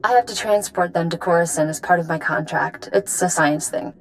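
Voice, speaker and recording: Woman playing a brisk, low-voiced smuggler. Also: a little hiss or hum, natural voice. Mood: brisk, businesslike. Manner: brisk, instructing. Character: brisk, matter-of-fact female relic smuggler. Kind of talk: video game voice acting.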